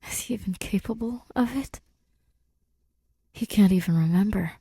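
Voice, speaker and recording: A woman narrating scripted fiction in an intimate, subdued, halting way, her breathy voice often near a whisper. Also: medium pitch, mellow. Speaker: podfic reader.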